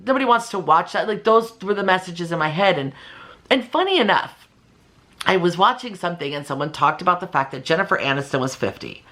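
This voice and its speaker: A woman's voice: lowish voice, brisk. A woman in her fifties talking casually and candidly in a motivational vlog, emphatic and given to acting out remarks. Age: 50s. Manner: animated and emphatic, acts out imagined remarks; candid, conversational. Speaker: video creator and channel host.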